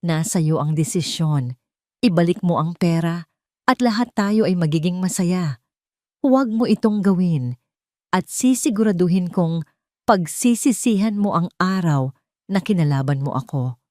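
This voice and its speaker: A woman voicing a smug, menacing mistress in an audio drama, taunting in a sweet, mock-gentle way. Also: fairly low pitch, expressive, a little slow, no echo, studio quality. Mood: sweet menace. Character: smug, menacing mistress making veiled threats.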